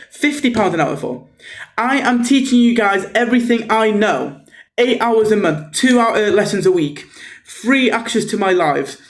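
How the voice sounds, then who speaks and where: A man with a North Welsh accent talks in a high voice, pitching his tutoring in a loose, repetitive way full of ums and okays. He is a native Welsh speaker from North Wales. His voice rings in an echoey room. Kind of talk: casual self-promotional pitch.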